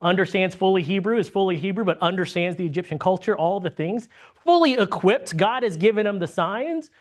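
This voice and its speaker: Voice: mid-range voice, brisk. Bible class teacher from the States, conversational lesson, keeps checking in with the class and restarting sentences. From United States. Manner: checks in with frequent tag questions; restarts and repeats words mid-sentence.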